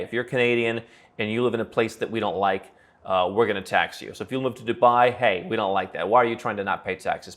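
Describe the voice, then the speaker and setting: A man hosting a direct-to-camera advice talk, confident and brisk, reasoning aloud through hypotheticals with frequent fillers. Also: fairly low pitch, fairly bright tone. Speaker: founder and host of an advisory channel.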